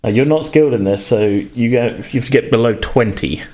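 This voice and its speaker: A man's voice: mid-range voice, fairly quick, warm tone. A man narrates as game master of a tabletop game, in a rambling, self-correcting manner.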